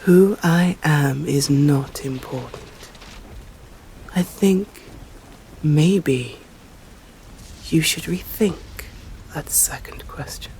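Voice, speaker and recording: A woman with an English accent playing a stern, courteous female vampire in an ASMR roleplay, speaking formally and deliberately in a breathy voice that often drops to a whisper. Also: low voice, darkish tone, slight background noise, heavily acted, rough recording. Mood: composed, intrigued. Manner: slow, deliberate, formal, menacing.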